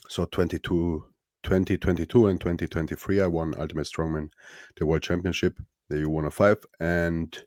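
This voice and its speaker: A very deep-voiced man in his thirties with a Romanian accent talks casually as a podcast guest. He speaks in a halting, thoughtful way, pausing on fillers and restarting his phrases. The sound is dry and close. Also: a little mellow, dry recording. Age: 30s. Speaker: podcast guest, strongman athlete. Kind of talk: casual interview chat.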